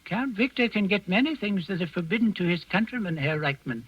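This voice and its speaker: Husky, high-voiced old manservant. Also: male voice, lively intonation, a little fast. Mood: deferential. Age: 60s or older. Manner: deferential, hesitant, evasive. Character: elderly, guarded Danish castle manservant, deferential yet dryly defiant. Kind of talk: 1940s radio drama acting. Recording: dull vintage radio broadcast with music.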